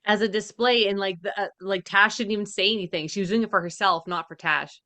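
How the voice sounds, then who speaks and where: A woman's voice: medium pitch, fairly even intonation, fairly quick, pushed and bright, dry recording. A woman co-hosting a podcast, reacting in a very informal, chatty way. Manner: informal, peppered with "like". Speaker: podcast co-host. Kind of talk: casual reaction chat.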